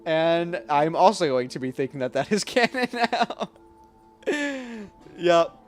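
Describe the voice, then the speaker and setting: A young man streaming a game with casual, joking, slangy commentary and quick reactions. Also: on the high side, animated, faint music behind. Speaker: gaming streamer.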